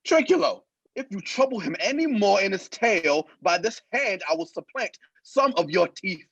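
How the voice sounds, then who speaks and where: A man's voice: high voice, big pitch swings, normal speed, fairly bright tone, husky, no echo, highs cut off, exaggerated voice. An actor playing a pompous, bossy drunkard who lords it over his companions in a Shakespearean radio play. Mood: commanding. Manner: pompous, commanding, archaic speech. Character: blustering, bossy drunken man playing lord.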